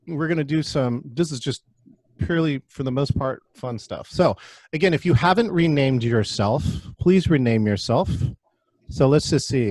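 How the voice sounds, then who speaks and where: A man's voice: on the high side, dry recording. A man from California leading a video call meeting, upbeat and chatty with lots of restarts. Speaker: meeting facilitator. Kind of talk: casual video call hosting.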